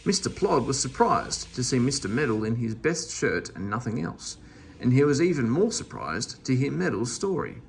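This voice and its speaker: Male storybook narrator, very animated intonation, gravelly edge, slightly noisy recording. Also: medium pitch, moderate pace. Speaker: storybook reader. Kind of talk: children's story read aloud.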